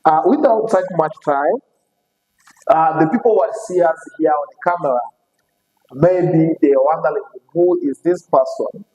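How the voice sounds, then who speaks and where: A man hosting an interview show, speaking non-native English with frequent fillers and quick "okay" and "yes" replies. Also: on the high side, mellow, in a large hall, rough recording. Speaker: show host. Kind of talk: casual interview.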